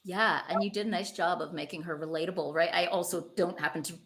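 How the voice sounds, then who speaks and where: A woman's voice: fairly low pitch, fairly even intonation, fast, fairly bright tone. A woman hosting a conversational interview in an effusive, encouraging way. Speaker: interviewer, host.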